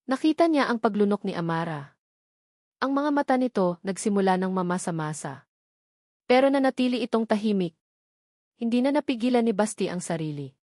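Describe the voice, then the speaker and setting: A woman's voice: medium pitch, lively intonation, a little fast, pauses often, darkish tone, no room sound. Filipino woman narrating dramatically, dry close sound. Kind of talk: dramatic scripted storytelling narration.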